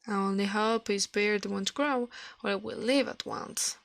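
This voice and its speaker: A woman reading a fairy tale aloud in a soft ASMR style, careful and a little halting, close to the microphone. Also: mid-range voice, vocal fry, dry recording.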